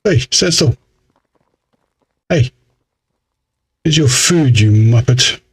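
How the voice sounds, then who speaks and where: A man's voice: medium pitch, expressive, takes it slowly, husky. A man giving casual let's play commentary in a grumbling, exasperated, mildly sweary way. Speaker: gaming video host.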